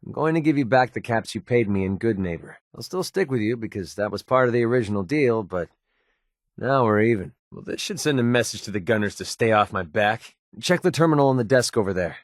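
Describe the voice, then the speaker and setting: An actor playing a guarded, wounded mercenary in a video game, sarcastic and quick-tempered but loyal, speaking in a plain, earnest, edgy way. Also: male voice, on the low side, expressive, dry recording, studio quality, lightly acted. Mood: wry, relieved. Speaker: companion character in a video game. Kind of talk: naturalistic video game voice acting.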